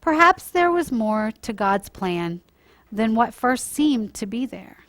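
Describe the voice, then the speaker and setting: A woman telling a Bible story to children, explaining it simply and deliberately as a church storyteller. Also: a little high, sing-song, fairly bright tone, no echo. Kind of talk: read-aloud children's story with informal moral teaching.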